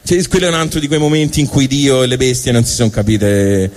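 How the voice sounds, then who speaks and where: A man with a Tuscan accent delivering a colloquial, digressive comic monologue on stage, with halting asides. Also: medium pitch, steady tone, a little mellow, slight background noise. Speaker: comic storyteller. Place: live stage show.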